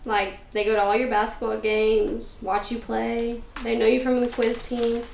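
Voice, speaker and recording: Woman reading as friendly, understated teenage girl, slightly high voice, roomy sound. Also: little pitch movement, moderate pace, gravelly, a little mellow. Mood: casual, kind. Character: kind, casual teenage girl, quietly fond of friend. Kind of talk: screenplay table read. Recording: echoey room, distant mic, faint noise.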